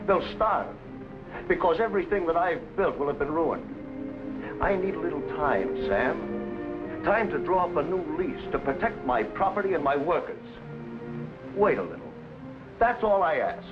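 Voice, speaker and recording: An actor playing a proud, anxious frontier landowner in an old adventure serial, speaking in an earnest, declamatory way. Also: male voice, on the high side, very animated, a little slow, pauses often. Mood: pleading. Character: John Sutter, proud frontier landowner, anxious for his land. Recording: dull vintage recording, loud music.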